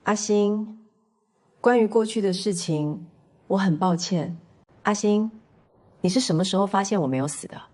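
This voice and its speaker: A woman's voice: mid-range voice, moderate pace, pauses often, dark tone, lightly acted. A woman playing a cold, vengeful young criminal in an audio drama, coolly taunting and unrepentant. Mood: subdued. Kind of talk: dramatized audio fiction acting.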